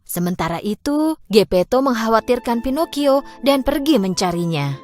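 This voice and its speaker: Lively, animated woman storyteller. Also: fairly high pitch, normal speed, soft background music. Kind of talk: scripted children's fairy tale narration.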